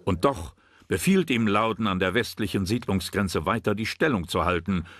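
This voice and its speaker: Low-voiced male documentary narrator. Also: lively intonation, normal speed. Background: background music.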